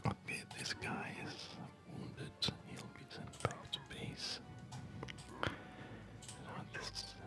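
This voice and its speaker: Whispering man, slow ASMR game commentary. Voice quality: soft breathy whisper. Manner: relaxed, unhurried, chatty. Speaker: host of an ASMR gaming channel. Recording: background game music. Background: loud game music.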